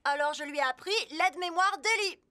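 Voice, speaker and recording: A woman's voice: very high voice, brisk, bright, forceful tone. A woman voicing a caring, cheerful young female rescue vehicle in a French children's cartoon dub.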